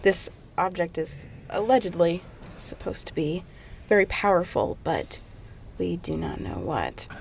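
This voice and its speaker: Woman as a low-voiced earnest scientist. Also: big pitch swings, a little slow, vocal fry, a little hiss or hum, full character voice. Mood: earnest, explanatory. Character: earnest female space agency scientist giving briefing. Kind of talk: audio drama acting.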